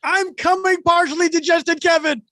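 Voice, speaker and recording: An actor voicing an exasperated, melodramatic animal in an animated comedy, with a gravelly voice. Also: very high voice, normal speed. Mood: heroic. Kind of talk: table read of animated comedy script.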